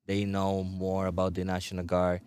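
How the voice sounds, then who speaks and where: A man's voice: low-pitched, completely flat delivery, normal speed, a little mellow, smooth, dry recording, clean studio sound. A young man from Puerto Rico, an army recruiter, chatting eagerly as an interview guest with loose tag questions. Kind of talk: casual interview.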